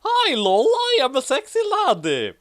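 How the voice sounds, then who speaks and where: A woman's voice: sits high, wildly swooping pitch, a little slow. A woman co-hosting a comic fan fiction reading, switching between casual chat and theatrical, exaggerated delivery.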